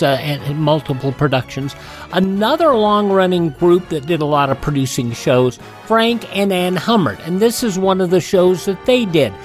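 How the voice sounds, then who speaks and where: A man hosting a classic radio show, introducing a programme in a conversational style. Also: high voice. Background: faint music.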